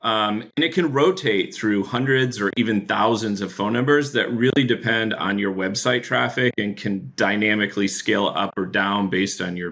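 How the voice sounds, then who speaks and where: Low gravelly man explaining calmly. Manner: relaxed explainer with frequent fillers. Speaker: guest, chief product officer. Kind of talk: explaining a product in a podcast interview.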